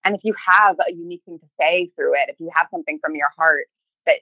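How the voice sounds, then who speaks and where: A woman with a slightly low voice chats casually as an interview guest. She feels her way through sentences, restarting and quoting remembered lines as she goes. The sound is close and fairly dry. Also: dry recording, narrow, tinny audio. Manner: chatty, halting, acts out remembered thoughts and emails in quoted speech. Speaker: podcast guest, fiction podcast creator and writer.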